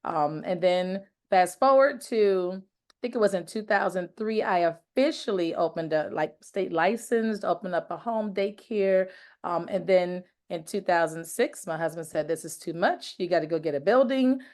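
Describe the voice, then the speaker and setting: A woman's voice: on the low side, moderate pace, a little mellow. A woman from Michigan, a guest on a podcast, friendly as she tells her story. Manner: friendly, laughs lightly while telling her story. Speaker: interview guest, child care owner. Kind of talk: casual podcast interview.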